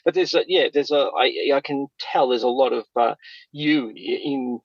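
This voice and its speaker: A man's voice: mid-range voice, moderate pace, dry recording. A man co-hosting a podcast in casual chat, rambling hesitantly and circling back over his words, on a slightly dull recording. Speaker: podcast co-host.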